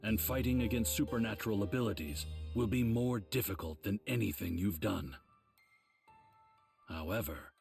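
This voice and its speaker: An actor playing a grave old mentor of demon slayers, explaining things in a measured, solemn way for a video game. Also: male voice, on the low side, creaky, darkish tone, breathy edge. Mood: calm, instructive. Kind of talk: English game dub, measured explanatory dialogue.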